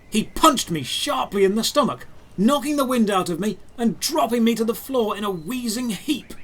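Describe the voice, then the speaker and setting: A man's voice: sits high, a bit bright. A man giving dramatic, scripted first-person narration for a fantasy audio drama.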